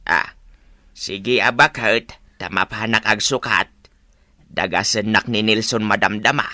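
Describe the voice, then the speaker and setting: A man's voice: fairly low pitch, measured pace, sharp tone, no echo. A man with an Ilocano accent playing a stubborn, smug old husband who teases his wife in a radio comedy-drama. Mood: teasing, defiant.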